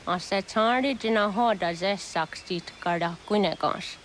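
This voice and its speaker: A woman playing a grave village elder woman in a video game, speaking in a measured, deliberate way. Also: medium pitch, a little hiss or hum, close to an everyday voice. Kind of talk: scripted video game cutscene acting. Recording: faint outdoor nature ambience.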